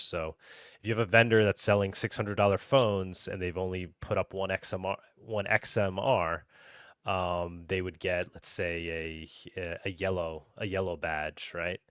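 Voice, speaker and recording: Male developer, casual podcast explainer, lowish resonant voice, dry sound, keeps seeking agreement. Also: a bit bright, smooth, no echo. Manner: explanatory, often checking with "right?". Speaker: marketplace developer explaining features.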